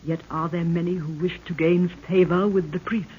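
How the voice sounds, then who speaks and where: A woman's voice: lowish voice, fairly even intonation, moderate pace, close to an everyday voice. A woman acting a regal African queen in an old-time radio serial, with archaic, declamatory, solemn speech. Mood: grave, foreboding. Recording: muffled, noisy old radio recording.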